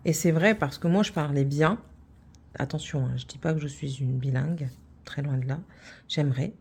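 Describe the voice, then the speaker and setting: A woman's voice: low-pitched, moderate pace, darkish tone, slight background noise. A middle-aged woman from France, hosting a craft channel and chatting casually, rambling with many fillers and restarts.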